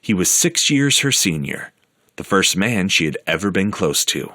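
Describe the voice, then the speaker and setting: Deep-voiced male documentary narrator. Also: sing-song, normal speed, sharp tone. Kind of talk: scripted narration.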